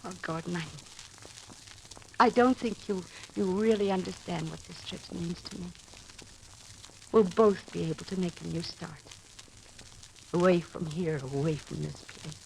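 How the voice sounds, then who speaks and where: An actress playing a trusting, anxious, affectionate wife in an emotional, hesitant 1940s radio acting style. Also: female voice, on the low side, a little slow, gravelly, long pauses, darkish tone, poor audio. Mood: tender, hopeful. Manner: emotional, hesitant, breaking off and repeating words. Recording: old radio recording, faint noise.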